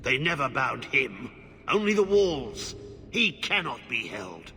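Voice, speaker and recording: An actor playing an unhinged, half-corrupted man in a fantasy game, fearful and pleading, with a halting, muttering, gravelly delivery. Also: mid-range voice, big pitch swings, pushed and bright, full character voice. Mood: urgent warning. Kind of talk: dramatic fantasy game acting. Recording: long cavernous reverb, background noise.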